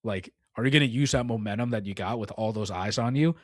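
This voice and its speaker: A man's voice: on the low side, fast, dry recording, clean studio sound. A young man co-hosting a casual gaming podcast, rambling and filling his talk with "like" and "dude.". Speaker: podcast co-host.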